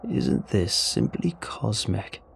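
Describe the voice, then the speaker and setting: Casting: male narrator as stranded alien, deep and gravelly, very slow, deliberate, weary yet wry, for a sci-fi story. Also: animated, slight background noise, heavily acted. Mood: wry amusement. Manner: slow, halting, deliberate delivery.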